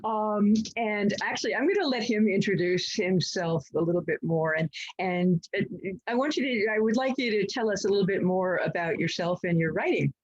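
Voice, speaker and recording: A woman interviews an author for a bookstore in a friendly, slightly hesitant way. Her voice has a husky edge. The recording is dull and lo-fi. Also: medium pitch, lively intonation, moderate pace, few pauses. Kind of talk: interview questions.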